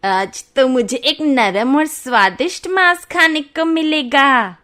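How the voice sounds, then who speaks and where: A woman voicing a bloodthirsty, gloating forest witch with a menacing, drawn-out delivery in a children's moral story. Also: high voice, expressive, sharp tone, a little hiss or hum, heavily acted. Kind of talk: Hindi moral-story narration, dramatized.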